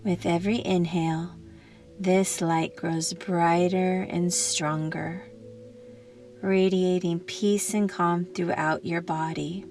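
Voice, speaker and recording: Slow, soothing woman guiding meditation. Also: fairly low pitch, level tone, soft background music. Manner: gentle, soothing, unhurried instruction with long pauses. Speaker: meditation guide. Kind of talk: guided meditation narration.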